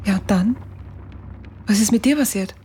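An actress playing a curious woman who gently questions a returned soldier in a radio play, with a breathy voice. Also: medium pitch, normal speed, a little hiss or hum, natural voice. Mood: curious, concerned. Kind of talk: German radio play dialogue.

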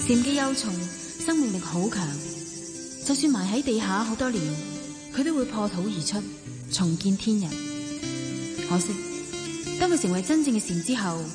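An actress with a Hong Kong accent playing a wistful, lovelorn woman who narrates a radio drama in a reflective, measured way. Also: mid-range voice, big-room sound, lightly acted. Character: wistful, lovelorn woman telling her story. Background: music.

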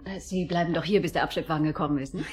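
Actress as a brisk, polite villa hostess. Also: female voice, deep voice, a little mellow, lightly acted. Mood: polite, hurried. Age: 30s. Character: polite film actress hosting strangers at her villa. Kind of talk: German crime radio drama acting.

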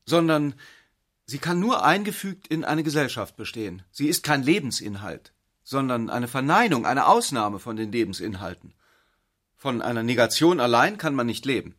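An actor playing a disillusioned, brooding man in a literary radio drama, speaking in a measured, reflective way. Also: medium pitch, big pitch swings, fairly bright tone. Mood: sober, resigned. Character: disillusioned, brooding man ending a love affair.

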